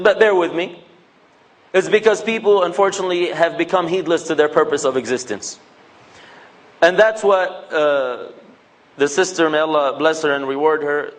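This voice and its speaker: A man, giving a casual, joking religious talk to young people and acting out bits of dialogue. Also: on the high side. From United States. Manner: conversational, joking, acts out quoted dialogue.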